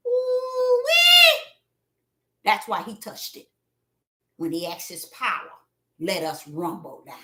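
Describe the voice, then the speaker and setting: A woman preaching a livestream sermon in a repetitive, preachy manner that swells into fervent shouts. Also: mid-range voice, big pitch swings, takes it slowly, pauses often, echoey room.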